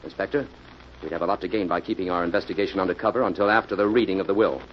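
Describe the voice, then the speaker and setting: An actor playing a sharp, methodical investigator with a brisk, matter-of-fact manner in 1940s radio mystery style. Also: male voice, deep voice, steady tone, close to an everyday voice. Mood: assured, reasoning. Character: sharp, methodical male private investigator working beside police. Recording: dull, noisy old radio recording.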